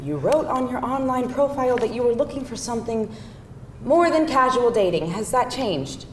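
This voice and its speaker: A woman's voice: big pitch swings, moderate pace. A woman playing an earnest, exasperated girlfriend of about thirty at a live screenplay table read, speaking firmly and expressively. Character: earnest, exasperated girlfriend around thirty, wanting real commitment. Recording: roomy live hall, faint rumbling noise.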